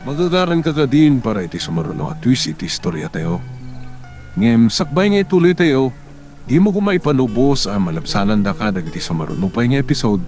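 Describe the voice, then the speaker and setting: A man's voice: medium pitch, sing-song, a little fast, a little mellow. A man with a Filipino accent giving scripted promotional narration as the host of a drama show. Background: guitar music.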